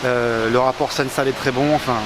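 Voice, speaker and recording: A man's voice: mid-range voice, fairly even intonation, fast. Hesitant man interviewed amid hissy noise. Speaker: theatre company member being interviewed. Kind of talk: unscripted interview. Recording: hissy location recording with background noise.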